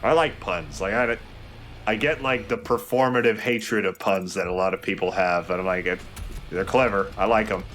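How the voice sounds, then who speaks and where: A man's voice: lowish voice, normal speed, fairly bright tone, slight background noise. A man giving casual, explanatory game commentary as a let's play host. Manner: explanatory, conversational. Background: game music.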